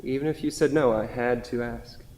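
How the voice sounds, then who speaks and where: Male reader as devoted, self-deprecating husband, wistful and conversational, mid-pitched, stage reading in a reverberant hall. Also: steady tone, moderate pace, a little mellow, a little hiss or hum, lightly acted. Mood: fond, nostalgic. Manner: reminiscing, conversational.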